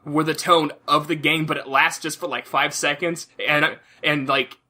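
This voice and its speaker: A man chatting casually about video games as a podcast co-host, haltingly, often repeating and restarting his phrases. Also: a little high, level tone, pushed and bright.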